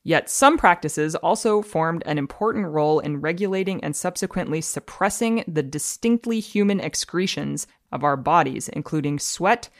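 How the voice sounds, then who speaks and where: A woman speaks as an expert podcast guest in a dry, close recording. She delivers academic language with precise, measured care and a deadpan seriousness. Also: sits low, no echo. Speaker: podcast guest, fluid dynamicist. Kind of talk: dramatic reading of academic text.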